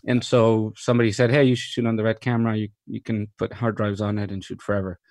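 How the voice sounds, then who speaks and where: A man from Mexico, a cinematographer, talking about his career in a relaxed interview with frequent fillers and restarts. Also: lowish voice, fast, no echo, clean studio sound. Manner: thoughtful, many fillers and restarts, enthusiastic. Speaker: cinematographer guest.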